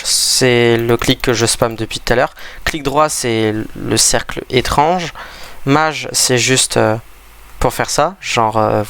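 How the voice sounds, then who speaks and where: Gravelly man with a head cold, rambling. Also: medium pitch, fairly bright tone. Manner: rambling, hesitant, many restarts. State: stuffy from a cold. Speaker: gaming video creator. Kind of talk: casual gameplay commentary.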